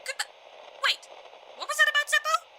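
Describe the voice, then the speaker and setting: Very shrill, nosy gossip. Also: female voice, lively intonation, a little fast. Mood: flustered. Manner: theatrical, eager, prying. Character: nosy, excitable female gossip calling a radio show. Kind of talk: old-time radio comedy acting. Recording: very noisy radio call-in line.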